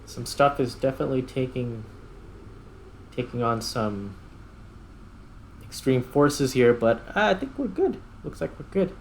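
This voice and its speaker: A man giving casual gameplay commentary, thinking aloud in short, halting remarks. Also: mid-range voice, expressive, slight background noise. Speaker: gaming host.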